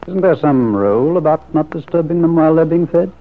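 An actor playing a dry, teasing bachelor in a wry, bookish manner for an old radio soap opera. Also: male voice, fairly high pitch, expressive, fairly quick. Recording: old muffled radio recording.

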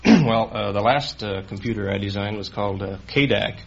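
A man's voice: on the low side, moderate pace, vocal fry. A man answering interview questions as a contestant, hesitant and matter-of-fact. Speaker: quiz contestant, computer designer. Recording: dull old radio broadcast, faint noise.

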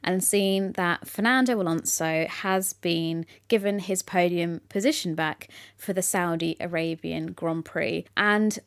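A young woman hosting a solo podcast, chatty and excitable, talking straight to her listeners with a slightly croaky voice after a loud concert. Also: fairly low pitch, measured pace, no echo, studio quality. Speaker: podcast host. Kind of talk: casual solo podcast chat.

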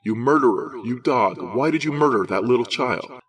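A man's voice: sits low, normal speed. A man voicing a furious male district attorney who roars accusations at a suspect. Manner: roaring, accusing.

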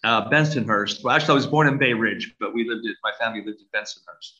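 An older man with a Brooklyn New York accent talks slowly and deliberately, often pausing on fillers. His voice is bright and a little sharp. The recording sounds dull and lo-fi. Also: lowish voice. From United States. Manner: measured, hesitant with many "uh" fillers. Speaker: archbishop guest. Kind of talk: interview conversation. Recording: dull lo-fi audio.

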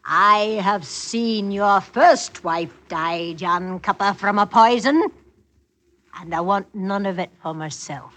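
A woman's voice: medium pitch, slow, fairly bright tone. A woman acting a bossy, greedy village neighbour in a classic radio drama, with theatrical country speech. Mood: stern, menacing.

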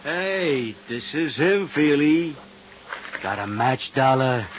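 An actor playing a dim, menacing thug sidekick in a 1950s radio crime drama, talking in simple, slow-witted slang. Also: male voice, mid-range voice, lively intonation. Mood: needling menace. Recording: muffled, faintly noisy 1950s radio recording.

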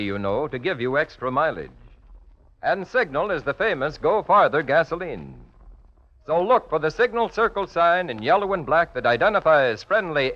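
A man announcing in a polished, emphatic 1940s radio style, presenting and selling. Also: on the high side. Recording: muffled old radio recording.

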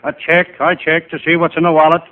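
A male comedian playing a wisecracking, hapless private eye, narrating in deadpan first person in old-time radio comedy style. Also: fairly high pitch, brisk, natural voice. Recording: noisy, tinny vintage radio recording.

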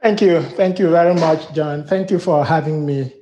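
A man's voice: a little high, moderate pace, mellow, roomy sound, highs cut off, slight background noise. A middle-aged man from Nigeria with a Nigerian accent, a university sustainability director giving earnest, formal interview answers with frequent restarts.

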